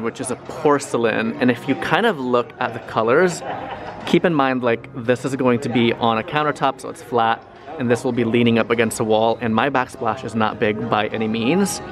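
A young man chatting casually to a handheld camera, gushing excitably over what he finds in a car and in stores. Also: mid-range voice. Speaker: vlogger. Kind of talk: casual vlog chat to camera. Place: car, showroom and store, indoors. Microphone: handheld camera at arm's length.

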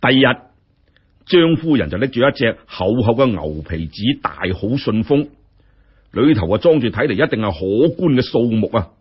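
A man telling a story in colloquial, expressive narration with wry asides. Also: medium pitch, moderate pace, slightly rough. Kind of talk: dramatic audiobook-style narration. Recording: dull, muffled lo-fi audio.

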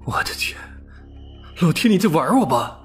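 Man playing exasperated male space traveller, audiobook drama, high strained pitch, wailing complaints, music underneath. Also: very animated, moderate pace, fairly bright tone, breathy edge, heavily acted. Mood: shocked, ranting. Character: male space traveller Xiaoyu, aghast, exasperated, cursing fate. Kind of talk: audiobook character acting.

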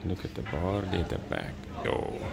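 A man's voice: deep voice, a little slow, creaky. A man with a South African accent giving casual, off-the-cuff vlog commentary from behind a handheld camera. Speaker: vlogger. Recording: noisy outdoor action-camera audio.

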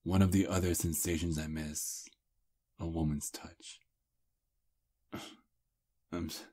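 Ancient vampire prince, played by a man: courtly seducer, breathy husky voice, slow intimate ASMR delivery. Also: deep voice, warm tone, exaggerated voice. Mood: tender, wistful. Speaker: ASMR roleplay performer. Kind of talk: intimate ASMR roleplay acting.

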